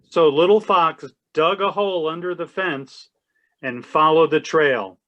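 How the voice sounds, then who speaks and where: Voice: mid-range voice, unhurried, lo-fi sound. A man narrating a children's storybook aloud in a readers theatre. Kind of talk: storybook reading.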